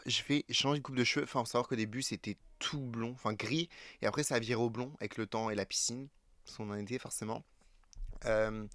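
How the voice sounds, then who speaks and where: A man's voice: medium pitch, fairly bright tone, dry recording. A French teenage boy in his late teens, chatting casually to camera with many fillers and self-corrections. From France. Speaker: YouTuber.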